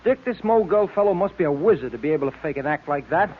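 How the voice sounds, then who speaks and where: A man plays a plain-spoken, hearty police sidekick in a 1940s-style radio play. His voice is a little high and his manner folksy. The recording is thin, like a phone line. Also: expressive, fast talker, slight background noise, close to an everyday voice. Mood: curious. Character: hearty, folksy police partner of a detective hero. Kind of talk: 1940s radio drama acting. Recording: tinny old radio recording.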